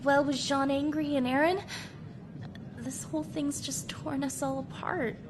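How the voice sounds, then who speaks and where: A woman's voice: high voice, fairly even intonation, unhurried, roomy sound, in a large hall, background noise. A woman playing an earnest, sorrowful young cadet in an anime parody drama. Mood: downcast.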